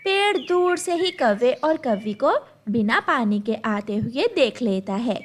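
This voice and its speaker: A woman's voice: on the high side, expressive, measured pace, a little hiss or hum. A woman storyteller reading scripted narration for a children's cartoon tale.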